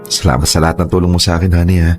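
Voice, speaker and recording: An actor playing a grateful, affectionate boyfriend in a radio drama, sweet and quick to laugh. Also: male voice, low voice, flat delivery, faint music behind, natural voice.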